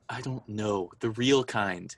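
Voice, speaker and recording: A young man acting in an intimate radio drama as an earnest, hesitant lover, halting and understated. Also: fairly low pitch. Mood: earnest, subdued. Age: young adult.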